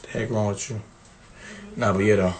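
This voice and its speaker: A man's voice: fairly low pitch, fairly even intonation, normal speed, darkish tone. A young man hosting a casual livestream from a small bathroom, talking into a phone at arm's length in a laid-back, slangy way full of repeated fillers. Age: young adult. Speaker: livestream host. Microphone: phone held at arm's length.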